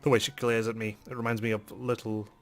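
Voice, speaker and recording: A man's voice: on the low side, level tone, fast talker. A man hosting a let's play, reading a game's narration aloud with some drama.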